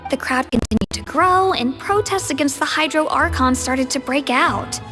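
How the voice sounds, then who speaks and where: High-voiced, courteous woman official. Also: bright, forceful tone, faint music behind. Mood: serious. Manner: formal, measured, courteous. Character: polite, composed female official messenger. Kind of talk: anime-style video game dialogue.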